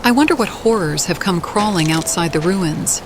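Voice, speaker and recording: A woman's voice: lowish voice, lively intonation, normal speed, background noise. A woman narrating a fantasy game playthrough in character, as the adventurer she plays. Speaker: gaming video narrator playing a character.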